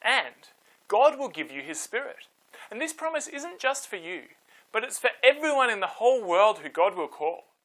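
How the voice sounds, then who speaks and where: Bold, earnest apostle addressing a crowd, voiced by a male storyteller, emphatic and very animated, storybook style. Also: high-pitched, normal speed. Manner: emphatic, declarative.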